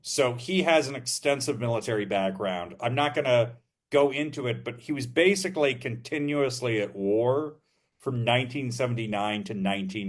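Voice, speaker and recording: Man explaining history with many fillers. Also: medium pitch. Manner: measured, thinking aloud with many fillers. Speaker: podcast co-host explaining history. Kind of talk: casual explanatory podcast talk.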